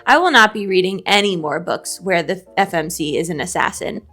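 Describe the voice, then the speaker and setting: A woman's voice: fairly low pitch, animated, brisk, sharp tone, soft background music. A woman chatting casually and animatedly with a friend as a co-host, giving opinions in a playfully indignant, slangy way. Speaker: co-host of a bookish video show.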